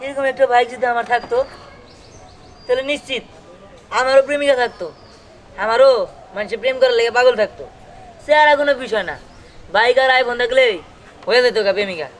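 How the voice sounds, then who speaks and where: A man's voice: very high-pitched, brisk, pauses often, a little hiss or hum. A man playing a petulant, lovesick village son who melodramatically threatens suicide in a comedy drama. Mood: wistful. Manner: petulant, complaining.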